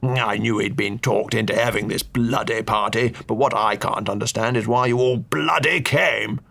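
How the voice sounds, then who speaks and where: A man voicing a cantankerous elderly male duke who blusters and scolds in slow, gruff bursts. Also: lowish voice, fairly bright tone. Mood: scornful. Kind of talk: audiobook character voice.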